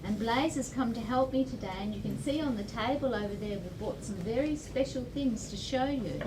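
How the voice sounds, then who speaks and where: A high-voiced Australian woman reads a picture book to small children, explaining it in simple words and inviting them to answer. She is heard at a distance in an echoey room. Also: normal speed. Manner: simple, encouraging explanations addressed to young children. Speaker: storytime presenter reading to children. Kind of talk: picture-book read-aloud with questions to children. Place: library event room. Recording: distant, echoey room recording with clear noise.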